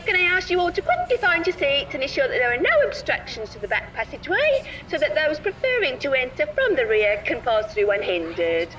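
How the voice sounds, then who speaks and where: An actress playing a chirpy, officious coach hostess in a comedy audio play, making sing-song announcements. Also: female voice, high voice, big pitch swings, fairly quick, hardly any pauses, recorded from a distance, over music, low-quality recording. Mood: chirpy, formal.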